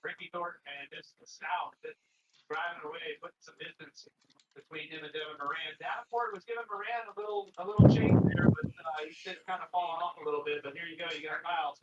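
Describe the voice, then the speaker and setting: Excitable man calling a race, lo-fi clip. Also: fairly high pitch, quick pace. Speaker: race commentator. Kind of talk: lively live race commentary. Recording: lo-fi, distant, noisy, echoey broadcast clip.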